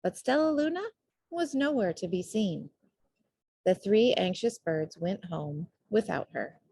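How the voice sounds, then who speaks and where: A woman reading a children's storybook aloud as an expressive narrator. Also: medium pitch, unhurried, gravelly, breathy edge, dry recording. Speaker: storybook reader.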